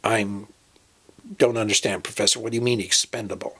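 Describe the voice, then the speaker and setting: A man's voice: on the low side, steady tone, brisk, gravelly, bright, forceful tone, slightly rough, full character voice. A man playing an earnest, agreeable adventurer and documentary maker, talking hesitantly and conversationally in an amateur audio drama. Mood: puzzled, wary.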